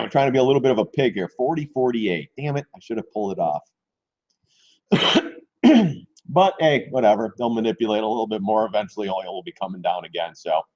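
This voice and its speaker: Hoarse guy doing a stock trading livestream, keeps clearing his throat, kinda dull lo-fi audio. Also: lowish voice, a little mellow. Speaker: stock trading live stream host. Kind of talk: casual live market commentary.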